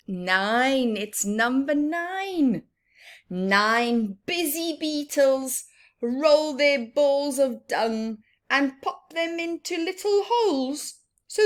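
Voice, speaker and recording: Woman reading to young children, very slow, animated. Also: high voice. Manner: sing-song, expressive, prompting listeners with questions. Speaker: children's story reader and teacher. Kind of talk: interactive picture book read-aloud for young children.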